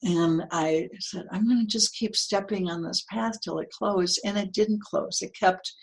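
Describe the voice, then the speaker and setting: An older woman with a low, earthy voice, talking as a guest in an interview, reflective and given to small laughs and restarts. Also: measured pace, vocal fry. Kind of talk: casual interview conversation.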